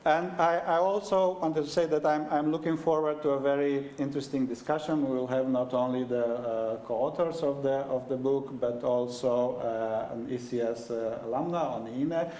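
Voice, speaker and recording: Male host, Romanian first language, halting delivery full of fillers, echoey hall recording. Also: medium pitch, steady tone, dark tone. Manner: halting, many fillers and restarts. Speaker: event host introducing the book. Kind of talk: opening remarks at a book launch. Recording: echoey lecture room.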